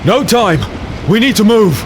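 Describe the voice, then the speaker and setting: An actor playing a grim, haunted, secretive warrior in a dark fantasy audio drama, speaking tersely and dramatically. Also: male voice, high voice, animated, a little slow, background noise. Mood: urgent, evasive. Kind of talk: dark fantasy audio drama, first-person narration and dialogue.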